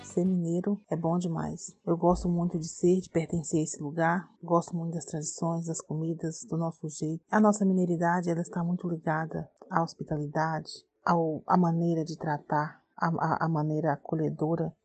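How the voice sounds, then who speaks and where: A woman giving a slow, fond interview answer, repeating words as she goes. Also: low voice, little pitch movement, a little mellow, no echo.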